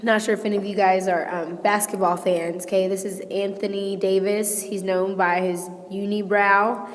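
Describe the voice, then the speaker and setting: A woman teacher with a hoarse, failing voice, patiently walking students through a math lesson step by step and checking in with "okay?". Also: mid-range voice, flat delivery, normal speed, big-room sound. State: sick, losing her voice. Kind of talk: recorded classroom lesson.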